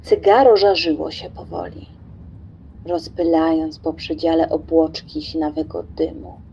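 A woman's voice: fairly low pitch, warm tone, lo-fi sound, a little hiss or hum. A woman narrating a radio play from a script, as a storyteller.

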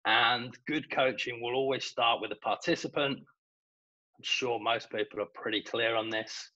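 A British man, a golf coach, giving a measured webinar presentation over a video call, with some hesitations. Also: mid-range voice, fairly even intonation, pushed and bright, lo-fi sound. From Britain.

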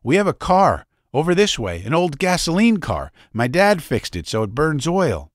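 A man's voice: fairly high pitch, wildly swooping pitch, moderate pace, no echo, clean studio sound, voice pushed up. A man reading aloud, voicing an eager, helpful tall young man. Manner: eager, explaining.

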